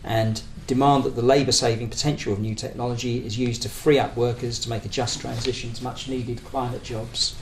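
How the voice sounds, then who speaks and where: A man's voice: medium pitch, flat delivery, fairly quick, hardly any pauses. A British man giving a political talk as a trade union speaker, in a hesitant, discursive way, searching for his words as he builds his argument. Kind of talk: conference talk, semi-prepared political argument. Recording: echoey room with faint background noise.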